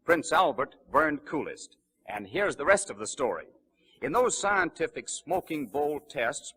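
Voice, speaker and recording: Forceful high-pitched 1930s radio announcer man. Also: breathy edge. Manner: emphatic, promotional delivery. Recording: vintage 1930s radio broadcast recording.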